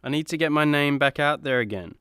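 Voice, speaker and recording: An actor playing a vain, fretful superhero, easily put on the defensive, in a comedy radio play. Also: male voice, a little high, a little fast, a bit bright, clean tone, no echo, lightly acted. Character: vain, anxious, image-conscious superhero.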